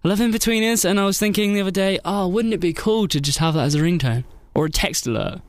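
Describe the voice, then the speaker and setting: A man hosting a radio show, chatting in a jokey, playful way with quick asides and laughs. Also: sits high, no echo. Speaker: radio host. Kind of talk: casual radio chat.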